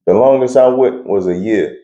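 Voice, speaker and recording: A man's voice: fairly low pitch, normal speed, warm tone, echoey room, muffled recording. A young man answering interview questions in a laid-back, blunt way with slang and frequent laughs. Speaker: interview guest.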